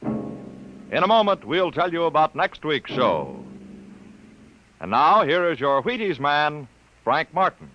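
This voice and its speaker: A male announcer reading closing credits in a formal, measured 1950s radio style. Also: a little high, sing-song, faint music behind. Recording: noisy, muffled old radio recording.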